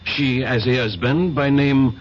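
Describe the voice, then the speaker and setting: An actor playing a dismissive French police inspector in a 1970s radio drama, speaking with a French accent and a fussy, halting manner. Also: male voice, mid-range voice, steady tone, close to an everyday voice. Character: pompous French police inspector, middle-aged man. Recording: dull old radio broadcast with faint hiss.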